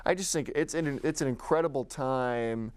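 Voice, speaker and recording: American guy being interviewed, smooth clear voice, chatty and keen, really dry close sound. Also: medium pitch, moderate pace, dry recording. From Utah, United States. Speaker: guest, executive director of a tech community nonprofit. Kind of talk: conversational interview answers.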